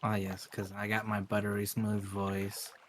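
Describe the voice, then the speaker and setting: A man's voice: lowish voice, monotone, unhurried, a little hiss or hum. A man chatting casually on a gaming stream, rambling and filling his talk with "like". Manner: rambling, heavy use of "like". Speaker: streamer co-host.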